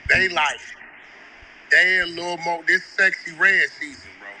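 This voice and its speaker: Bright high-voiced man, blunt. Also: unhurried, slight background noise, rough recording. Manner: blunt, streetwise advice. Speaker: guest. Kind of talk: casual chat over a call.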